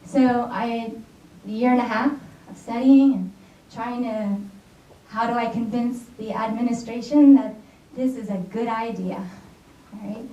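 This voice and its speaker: A woman's voice: a little high, steady tone, unhurried, dark tone. American woman presenting in a dull, echoey hall. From United States. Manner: chatty, keeps checking in with "all right?" and "right?". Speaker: conference presenter, secondary school teacher. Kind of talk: conference talk to an audience. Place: conference hall. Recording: echoey, dull audio captured from far off in a hall.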